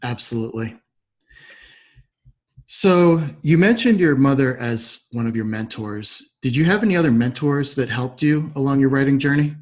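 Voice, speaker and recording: A man's voice: mid-range voice, lively intonation, normal speed, a little mellow. A man hosting a podcast interview in a conversational, thoughtful and affirming way. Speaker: podcast host.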